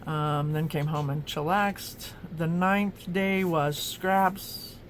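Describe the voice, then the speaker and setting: A woman's voice: low voice, fairly even intonation, takes it slowly, slight background noise. Chatty woman from Oklahoma, rambling narration. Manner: chatty and rambling, many ums and restarts. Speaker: journaling vlogger. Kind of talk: casual narration flipping through a journal.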